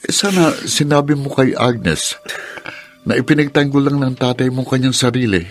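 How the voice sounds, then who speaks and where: An actor playing a calm, concerned male friend who reasons gently in a radio drama. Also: medium pitch, moderate pace, lightly acted. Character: concerned, level-headed young male friend giving advice.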